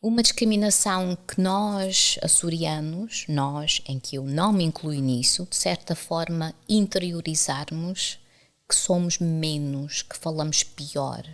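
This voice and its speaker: Low-voiced middle-aged Portuguese woman, candid guest. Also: unhurried. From Azores, Portugal. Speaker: university professor and author, interview guest. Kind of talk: conversational interview storytelling.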